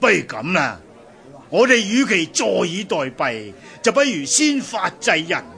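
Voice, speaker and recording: A man's voice: sits high, sing-song, unhurried, vocal fry, bright, forceful tone, exaggerated voice. A man with a Hong Kong Cantonese accent playing a bold, ambitious leader of sworn merchant brothers in a radio drama. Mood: grave, defiant. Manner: hearty, declamatory.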